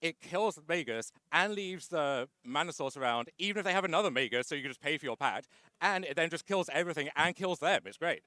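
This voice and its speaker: A man's voice: on the high side, lively intonation, brisk, sharp tone, no echo. A man being interviewed after winning a card tournament, chatty and wry, with lots of "uh" fillers. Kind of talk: casual post-match interview.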